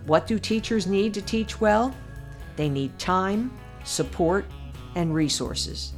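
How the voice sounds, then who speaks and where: A woman commentator reading her own essay aloud in a measured, deliberate way with emphatic pauses. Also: mid-range voice, animated, faint music behind. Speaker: podcast commentator.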